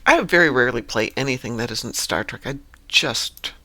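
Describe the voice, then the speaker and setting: A man's voice: mid-range voice, animated, moderate pace, pushed and bright. A man streaming a game, chatting casually and musing aloud as he sorts through menus. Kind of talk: casual chat while gaming.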